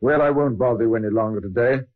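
An actor playing a patient, experienced police inspector with a husky voice and polite, measured manner in an old radio drama. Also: male voice, medium pitch, close to an everyday voice. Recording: dull old radio recording.

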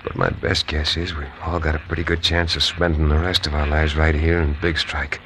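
An actor playing an easygoing frontier lawyer who narrates a 1950s radio western. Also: male voice, a bass voice, flat delivery, fairly quick, fairly bright tone. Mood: laid-back. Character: easygoing frontier lawyer, man, dry wit. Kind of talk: 1950s radio drama acting. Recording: old radio broadcast with crowd noise.

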